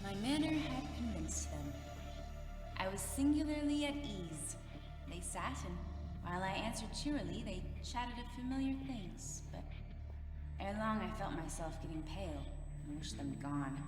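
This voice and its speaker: An actress playing a guilt-crazed, unhinged murderer confessing in dramatic, formal language in a gothic audio drama. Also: female voice, mid-range voice, expressive, normal speed, lightly acted. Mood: smug confidence, then growing unease. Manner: dramatic, archaic formal diction. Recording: echoey reverberant hall with loud music behind.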